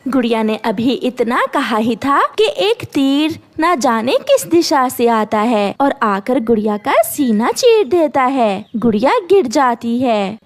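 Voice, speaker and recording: A woman's voice: fairly high pitch, expressive, slow, hardly any pauses. A woman narrating a scripted children's cartoon story.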